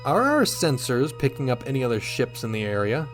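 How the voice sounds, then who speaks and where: A man's voice: medium pitch, big pitch swings, fairly quick, music in the background, natural voice. An actor playing a bold, wry male starship captain in a sci-fi radio drama. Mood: commanding.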